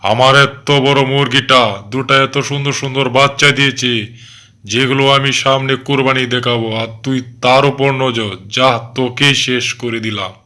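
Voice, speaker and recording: A man voicing a gruff, menacing tiger who guards his hen in a cartoon. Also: medium pitch, little pitch movement, normal speed, bright, forceful tone, close to an everyday voice. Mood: furious. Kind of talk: animated storybook cartoon dialogue.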